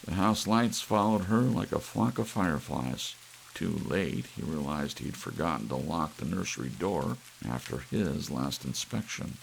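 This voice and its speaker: A man narrating an audiobook story in an ASMR reading style, with soft rain behind him. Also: a bass voice, expressive, measured pace, gravelly, slight background noise, rough recording.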